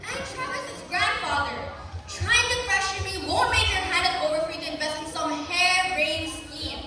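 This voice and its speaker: A teenage girl acting a sharp-tongued young woman who wants to be a doctor, teasing and defiant on a school stage. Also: extremely high pitch, moderate pace. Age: teens. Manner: teasing and defiant, draws out words. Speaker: student actor. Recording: echoey hall, far from the mic, noisy.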